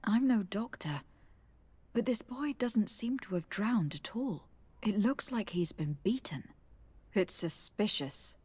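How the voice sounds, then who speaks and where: A highborn envoy in a fantasy video game. She has a mid-pitched voice and speaks with measured, formal courtesy and firm authority. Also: animated, darkish tone, breathy edge. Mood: sober, courteous. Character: poised, authoritative young noblewoman legate, courteous but insistent. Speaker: noble diplomat. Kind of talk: dramatic video game acting.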